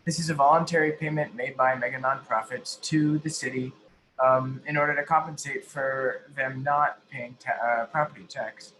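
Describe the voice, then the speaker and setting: A man giving an explanatory advocacy presentation as an activist, halting and often correcting himself mid-sentence. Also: mid-range voice, steady tone, pushed and bright, low-quality recording. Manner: halting, frequently self-correcting. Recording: faint background noise.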